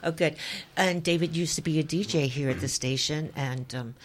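A woman hosting a casual radio interview in a station studio, chatty and quick with questions. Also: sits low. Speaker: radio host.

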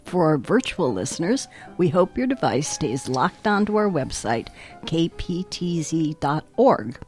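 A woman's voice: deep voice, big pitch swings, a little slow, a little mellow, soft background music. A woman hosting a local radio interview show, chatty and upbeat, laughing easily with her guest.

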